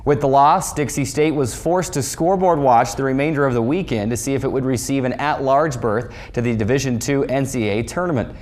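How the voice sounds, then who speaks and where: A man's voice: medium pitch, a little fast, hardly any pauses, darkish tone. Male sportscaster reading a scripted recap. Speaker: sports show host and play-by-play voice.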